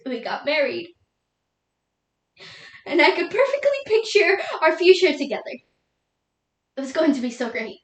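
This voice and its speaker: A woman performs a young widow lost in grief and doubt. Her voice is high and emotional, with halting, pleading delivery. It is a solo dramatic monologue, heard in a reverberant room. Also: very animated. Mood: wistful reminiscing. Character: grieving young widow, tearful and doubting God. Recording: echoey room.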